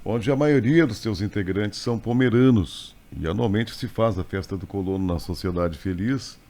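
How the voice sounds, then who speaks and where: A man's voice: fairly low pitch, normal speed, mellow. A man from southern Brazil hosting a radio interview, asking questions and affirming with short, interested replies. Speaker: radio host. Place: radio studio.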